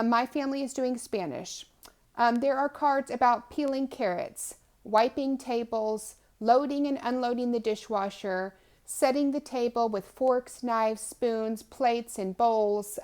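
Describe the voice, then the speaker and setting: A woman's voice: fairly high pitch, a little mellow. A woman in her thirties giving an informal, explanatory testimonial as a homeschooling mother, talking in a conversational way. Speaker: homeschooling mother presenting a language program.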